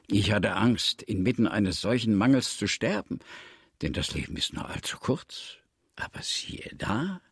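A hospitable old villager in a radio drama, pious and fond of talking. His low voice is gravelly and moves dramatically up and down as he declaims, recorded close and dry. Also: no echo, full character voice. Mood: grave, reflective. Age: older. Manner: expansive, storytelling, declamatory. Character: talkative, pious old villager grown rich, eagerly hospitable.

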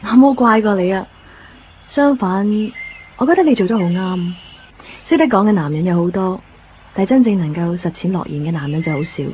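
A woman's voice: mid-range voice, fairly quick, a little hiss or hum, lightly acted. A woman acting a composed, understanding young girlfriend in a radio drama, with a Hong Kong Cantonese accent. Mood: calm, confiding. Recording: muffled radio drama recording with music.

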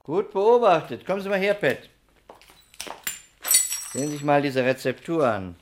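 A man's voice: on the high side, animated, normal speed, natural voice. A male actor plays a busy, slightly absent-minded fire investigator in a vintage German radio drama. He is courteous and thoughtful, questioning in a matter-of-fact way. A light noise sits on the old recording. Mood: methodical, absorbed. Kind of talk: 1960s German radio play acting. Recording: noisy 1960s radio recording.

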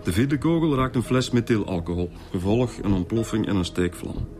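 An actor playing a matter-of-fact, colloquial Flemish detective reporting in a radio drama. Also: male voice, lowish voice, expressive, normal speed, warm tone, lightly acted. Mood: explanatory. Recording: music under the dialogue.

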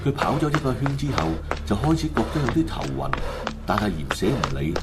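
A man's voice: mid-range voice, very animated, a little fast. A man with a Hong Kong Cantonese accent, narrating a scripted radio drama as a storyteller. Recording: loud music bed under the voice. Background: music.

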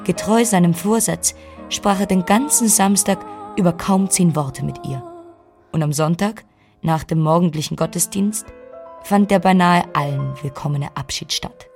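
A woman's voice: on the low side, normal speed, a little mellow, lightly acted. An actress playing a young Regency sister who narrates her family's story in a composed, literary radio-drama style. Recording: clear music under the voice. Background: music.

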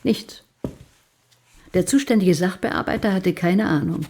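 A woman's voice: on the low side, unhurried, a little mellow. An actress playing a composed, strong-willed female client in a detective radio play, speaking with control and insistence. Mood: controlled concern. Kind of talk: German radio play acting.

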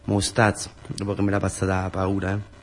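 A man's voice: sits low, completely flat delivery, fairly quick, a little hiss or hum. A man with a southern Italian, Pugliese accent performing a colloquial, first-person dramatic monologue for radio theatre, in short repeated phrases.

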